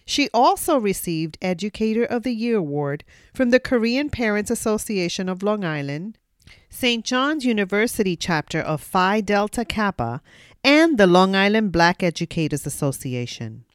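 A woman's voice: mid-range voice, big pitch swings, normal speed, clear, resonant tone, no echo, clean studio sound. A woman hosting a podcast, reading a formal introduction and then asking questions with enthusiastic, affirming responses. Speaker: podcast host. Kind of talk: podcast interview.

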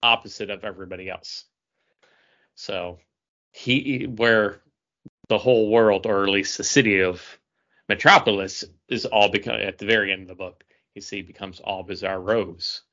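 A man's voice: on the low side, steady tone, moderate pace, pushed and bright. A man co-hosting a podcast, chatting casually through reviews and often stopping to search for words. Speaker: podcast co-host. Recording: dull lo-fi sound.

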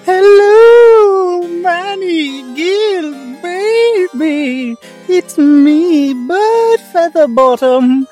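A woman's voice: very high-pitched, lively intonation, very slow, warm tone, faint music behind, full character voice. A woman playing a smug, scheming swindler in a comedic audio drama. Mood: smug, gloating.

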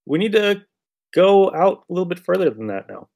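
A man's voice: sits high, sing-song, brisk, creaky, a little mellow. A man hosting a podcast, chatting casually about an old radio show in a blunt, irreverent way with some swearing. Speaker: podcast host.

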